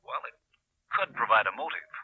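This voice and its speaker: An actor playing an uneasy family advisor, hesitant and careful, in 1950s radio drama style. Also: male voice, mid-range voice, sing-song, moderate pace, slight background noise, natural voice. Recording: muffled 1950s radio broadcast recording.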